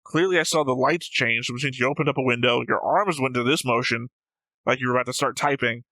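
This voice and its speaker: A man with a very bright, forward voice at a middling pitch, recorded close and dry. He co-hosts a reaction show, debating casually and pedantically, correcting fine points. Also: quick pace, no room sound, low-quality recording. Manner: pedantic, correcting his co-host. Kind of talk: casual argumentative discussion.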